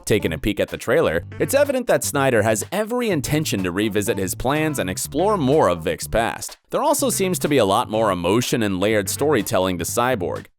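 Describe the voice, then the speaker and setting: A man's voice: on the low side, very animated, fairly quick, hardly any pauses, soft background music. A man reading a scripted entertainment video narration in an emphatic, conversational presenter's way. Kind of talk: scripted movie trailer breakdown narration.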